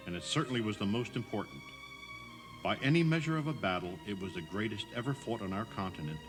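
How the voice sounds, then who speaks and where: A man's voice: fairly low pitch, fairly bright tone. A man narrating a scripted audio tour as a guide, in a conversational, emphatic storytelling manner.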